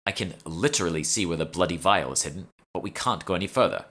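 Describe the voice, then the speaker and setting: Guy doing a snooty british socialite lady, imperious and scornful, audiobook character, bright tone. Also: sits low, fast talker. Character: haughty, condescending British woman posing as obnoxious socialite.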